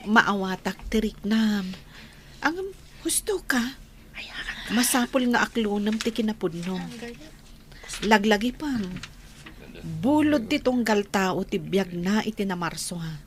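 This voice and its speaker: A woman's voice: mid-range voice, expressive, slow, long pauses, a little hiss or hum. A woman with an Ilocano accent playing a sympathetic, solemn neighbour in a radio drama, speaking with earnest consolation. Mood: solemn comfort.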